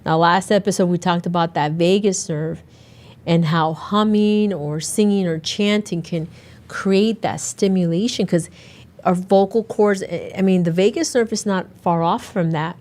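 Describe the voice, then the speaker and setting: A middle-aged woman hosting her own podcast, her voice raspy and hoarse from a recent illness, talking earnestly and conversationally and often restarting her sentences. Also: fairly low pitch, a little slow, a little mellow. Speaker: podcast host and naturopathic doctor. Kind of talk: casual solo podcast talk.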